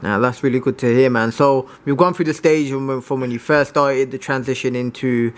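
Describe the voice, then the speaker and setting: A man's voice: medium pitch, steady tone, brisk. A man, interviewing casually and informally, checking back with tag questions. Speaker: interviewer and vlogger.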